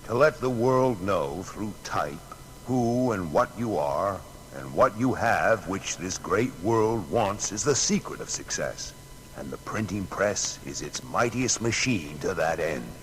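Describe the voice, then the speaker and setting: Earnest male inventor reflecting on his work, very low voice, measured memoir delivery, slight noise. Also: animated, rough recording. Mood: earnest conviction. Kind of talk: dramatised reading of memoir quotations. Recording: faint background noise.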